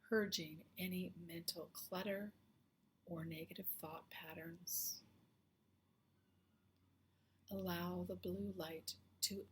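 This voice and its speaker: Female meditation guide: slightly low register, gentle half-whispered phrase endings, unhurried and calming, faint ambient noise underneath. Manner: soft, hushed, often breathy phrase endings; slow, soothing, with long pauses.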